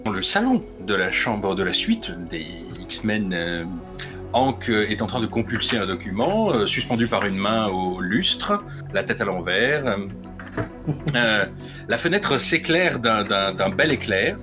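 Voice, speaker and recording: Low-voiced man playing a tabletop game. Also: big pitch swings, measured pace, over music. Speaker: player. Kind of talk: tabletop roleplay.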